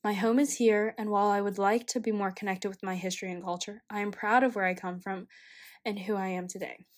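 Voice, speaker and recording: A young woman in college, from China and raised in the United States, reading a quiet, reflective personal narration. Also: mid-range voice, fairly even intonation, fairly quick. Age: young adult. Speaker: college student.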